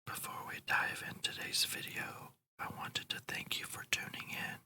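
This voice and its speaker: The voice is a fully whispered, breathy one with a bright, airy edge. It guides a meditation in ASMR style, moving slowly and deliberately. The delivery is soothing throughout.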